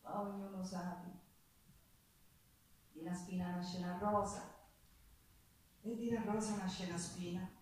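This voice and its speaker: An actress playing a poor, resilient Sicilian ex-prisoner who tells her story in a theatrical monologue, with a Sicilian accent and a slow, dramatic delivery. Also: lowish voice, flat delivery, a little mellow, a little hiss or hum, lightly acted. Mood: plain, resolute. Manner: slow, dramatic, pausing, repeating phrases. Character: Sicilian woman, poor ex-prisoner singer, proud, wounded, resilient. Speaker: stage actress. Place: theatre stage, live performance. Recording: echoey theatre, distant microphone.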